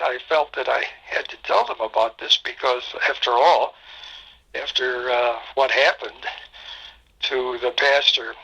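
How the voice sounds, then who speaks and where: A pastor in his eighties recalls the past in a halting, reflective way, pausing often on fillers. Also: medium pitch, pushed and bright, breathy edge, lo-fi sound. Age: 80s. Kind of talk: oral history storytelling.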